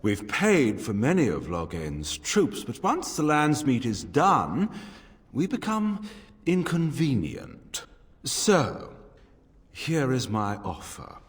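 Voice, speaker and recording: An actor playing a slippery slave-trading mage in a video game, with a smooth, mannered way of bargaining. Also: male voice, mid-range voice, wildly swooping pitch, slow, full character voice. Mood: smug, bargaining. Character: slippery male slave-trading mage, smooth dealmaker turned pleading coward. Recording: long hall reverb, music behind.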